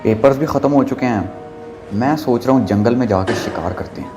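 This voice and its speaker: A man's voice: medium pitch, normal speed, a little mellow, faint music behind, close to an everyday voice. A man playing a blunt, bold young student keen on hunting trips, in an audio drama.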